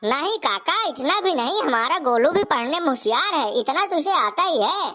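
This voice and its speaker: Woman playing a bright, high-pitched cheeky village fellow. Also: lively intonation, moderate pace, slight background noise. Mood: protesting. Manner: taunting, colloquial, insistent. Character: cheeky, argumentative younger village man, teasing and insistent. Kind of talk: comic cartoon dialogue.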